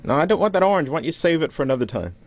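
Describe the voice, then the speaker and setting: A man giving an informal hands-on tutorial as a DIY presenter, explaining step by step in a halting way. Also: a little high, sing-song. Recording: dull lo-fi camera audio, loud noise.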